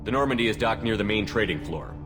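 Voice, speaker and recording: A man playing a stoic military commander in a video game, terse and steady, with long reverb on the voice. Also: lowish voice, fast, music in the background, lightly acted. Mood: firm. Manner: terse, steady, matter-of-fact.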